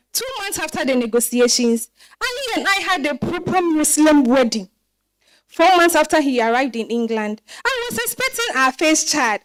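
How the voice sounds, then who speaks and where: An actress playing a wry, regretful married woman telling her story in an expressive dramatized monologue. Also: sits high, poor audio. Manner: expressive, reflective.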